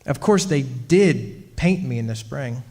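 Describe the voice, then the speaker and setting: A man's voice: mid-range voice, animated, slow pace. A man playing a henpecked husband haunted by his witch wife, telling his story in a wry, aggrieved, hesitant radio-drama manner. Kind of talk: old-time radio drama, storytelling monologue. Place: stage performance in a club hall. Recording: hall reverb, music behind.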